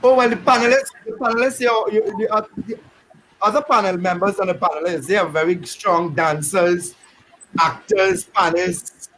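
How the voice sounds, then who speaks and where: A man's voice: sits high, fairly even intonation, moderate pace, fairly bright tone, slight background noise, rough recording. A man hosting a panel discussion, chatty and joking in a loose, easy way. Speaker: host.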